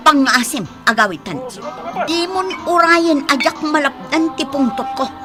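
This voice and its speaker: A young, hot-tempered girlfriend with a very high, emotional voice. She speaks with an Ilocano accent in radio drama style. Dramatic music plays clearly behind her. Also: animated, measured pace. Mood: angry, hurt.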